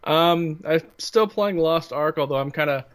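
A man's voice: fairly high pitch, unhurried, clear, resonant tone. A man co-hosting a casual podcast chat, talking in an emphatic, exasperated way. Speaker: podcast co-host.

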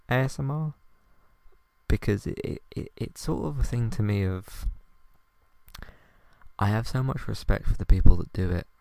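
A young man hosting a podcast, talking softly and hesitantly with many ums as he tries out ASMR for the first time. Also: deep voice, vocal fry, dry recording. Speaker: podcast host. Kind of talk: first attempt at ASMR, quiet podcast chat.

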